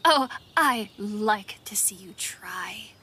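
A woman's voice: mid-range voice, expressive, takes it slowly, sharp tone, slightly rough, exaggerated voice. A woman playing a resentful, haughty young mage in an audio drama, with a petulant, dramatic delivery. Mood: snide.

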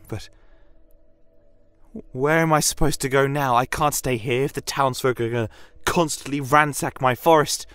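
A man voicing an anxious, grateful female satyr mother in a fantasy audio story, earnest and halting. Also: mid-range voice, a bit bright, dry recording, pitched above the performer's own voice, close to an everyday voice.

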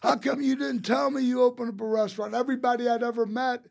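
A man tells casual, rambling anecdotes as a podcast guest, quoting people in an animated way. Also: very high-pitched, fast talker, creaky. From St. Louis, United States. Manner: rambling, animated storyteller who quotes others. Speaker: interview guest, restaurateur. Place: small podcast studio. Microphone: close studio mic on a boom arm.

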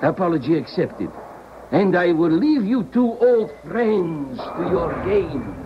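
Muffled, noisy old radio drama. an officious foreign colonel with a high voice that swings in pitch. He speaks with formal, pointed politeness. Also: big pitch swings, takes it slowly, exaggerated voice. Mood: icily polite. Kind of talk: 1970s radio drama acting.

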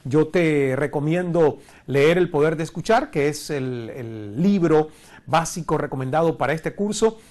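A man's voice: fairly high pitch, unhurried, a little mellow. A man in his forties from Cuba, standing before a screen in a studio and teaching an online course with a lapel mic, earnest and asking rhetorical questions. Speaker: course instructor. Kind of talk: recorded online lecture.

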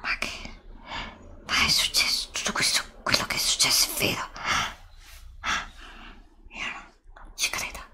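A woman's voice: measured pace, pauses often, pushed and bright. A woman playing a bewildered heroine of a fairy tale, breathless and hesitant in an ASMR roleplay. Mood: bewildered, frightened. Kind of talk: whispered Italian ASMR roleplay.

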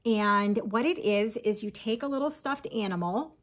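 A woman's voice: mid-range voice, normal speed. A woman in her forties chatting casually to viewers, showing her crafts at home in her kitchen and often calling them "you guys.". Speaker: crochet vlogger. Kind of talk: casual show-and-tell chat.

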